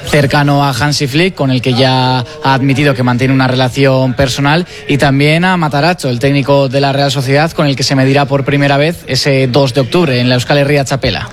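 A man co-hosting sports radio, giving fluent football analysis. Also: mid-range voice. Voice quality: fluent, informative delivery.

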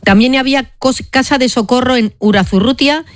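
A male radio host with a high voice and a northern Spanish accent. He chats casually and rambles, hesitating as he goes, in a dry close recording. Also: brisk, no echo. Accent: northern Spain. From Bilbao, Spain.

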